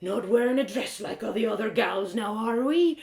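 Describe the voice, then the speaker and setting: A woman's voice: a little high, moderate pace, vocal fry, a little mellow. A woman voicing an angry, bitter old male blacksmith with a menacing, threatening manner and rustic speech, as part of a storybook reading. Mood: suspicious, menacing. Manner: bellowing, threatening, rustic dialect. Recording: roomy echoing sound.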